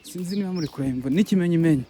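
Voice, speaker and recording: A Rwandan man, a native Kinyarwanda speaker, talking to viewers as a content creator in a casual vlog, halting and pleading with many restarts. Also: fairly high pitch, dark tone, a little hiss or hum. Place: outdoors by a road with passing buses.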